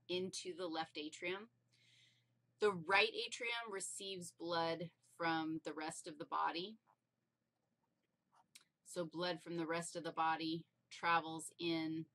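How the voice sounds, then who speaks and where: A woman's voice: on the low side, bright, forceful tone. A woman teaching anatomy in an informal, playful and emphatic way, explaining things step by step.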